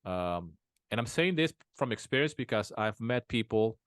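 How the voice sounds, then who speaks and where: Low-voiced man, halting and talkative. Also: animated, fairly bright tone, no room sound, clean studio sound. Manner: halting, many restarts and fillers. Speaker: interview guest. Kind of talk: casual conversational interview. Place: home in a small town.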